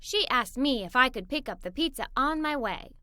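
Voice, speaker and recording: A woman's voice: high voice, animated, moderate pace, sharp tone, no room sound. A woman voicing an upbeat young female student babysitter in a storybook, explaining things in an encouraging way.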